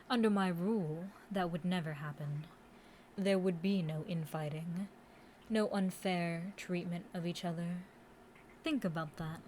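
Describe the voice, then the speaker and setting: A woman voicing a commanding, scheming demon queen in a scripted audio roleplay, haughty and taunting, with deliberate, theatrical villain delivery. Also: on the low side, a little mellow, a little hiss or hum, close to an everyday voice. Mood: proud, disdainful.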